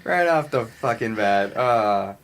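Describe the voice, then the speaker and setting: Co-host: American man, slightly low voice, loose joking rambles, faint background noise. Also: expressive, slow pace, fairly bright tone. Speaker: podcast co-host. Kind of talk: casual banter.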